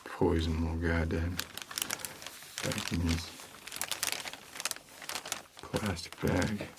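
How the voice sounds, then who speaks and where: Deep and very unhurried, this is a shady, laid-back homicide detective, played by a man for an ASMR roleplay. He rambles haltingly and stays deadpan, with clear noise in the recording. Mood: deadpan, casual. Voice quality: low, creaky.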